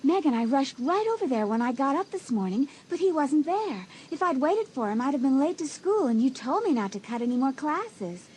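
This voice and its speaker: A woman's voice: high voice, very animated, brisk, hardly any pauses, darkish tone, slight background noise, rough recording. A woman voicing a carefree, secretive teenage girl who brushes off worries lightly in an audio drama. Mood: breezy. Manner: light, dismissive, teasing.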